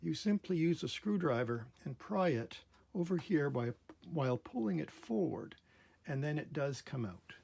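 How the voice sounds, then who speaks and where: Gravelly, husky man explaining repairs. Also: on the high side, sing-song, moderate pace, darkish tone. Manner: methodical, step-by-step explaining. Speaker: host of a repair channel. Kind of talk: casual hands-on repair demonstration. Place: indoor workbench in a workshop.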